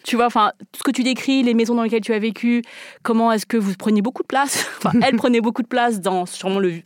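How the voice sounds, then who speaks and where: A woman's voice: on the high side, fairly even intonation, fast, no room sound, clean studio sound. A woman hosting a casual conversation, talking in long winding sentences.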